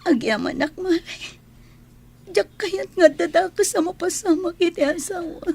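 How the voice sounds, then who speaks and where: A woman's voice: extremely high pitch, unhurried, vocal fry, a little mellow, heavily acted. An actress playing a worried, long-suffering wife and mother in an Ilocano radio drama, earnest and emotional, with sighs. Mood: tearful gratitude.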